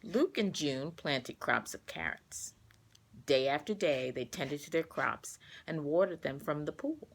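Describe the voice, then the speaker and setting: A woman reading a children's storybook aloud, expressive and carefully paced for young listeners. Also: low voice, a little slow, creaky, breathy edge.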